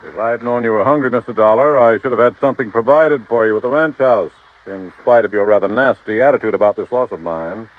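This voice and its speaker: An actor playing a haughty, indignant wealthy rancher with formal, huffy speech in 1950s radio style. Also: male voice, mid-range voice, a little fast, lightly acted. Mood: haughty, baffled. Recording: thin, narrow old radio recording.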